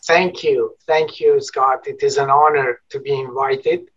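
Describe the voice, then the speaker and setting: A man's voice: mid-range voice, steady tone, unhurried, fairly bright tone. An older man from northern Iran with a Persian accent, a guest telling his life story in an earnest, formal way with frequent hesitations. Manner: earnest and formal, with frequent ums and repeated words. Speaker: guest, business college dean. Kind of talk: interview storytelling. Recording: dull lo-fi sound.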